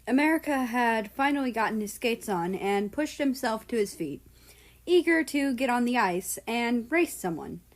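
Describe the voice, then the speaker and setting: A woman narrating a scripted story in a storybook style. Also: medium pitch. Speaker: fan fiction narrator.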